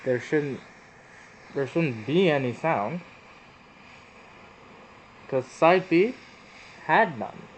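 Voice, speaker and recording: A man's voice: fairly high pitch, expressive, drawn-out words, long pauses. A man presenting a casual hands-on experiment, thinking aloud as he demonstrates. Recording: roomy echo, noticeable background noise.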